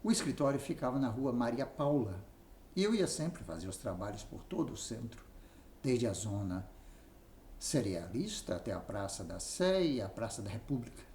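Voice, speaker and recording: A man with a Brazilian accent narrating a written memoir aloud in an expressive reading style. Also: mid-range voice, normal speed. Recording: roomy echo, faint background noise.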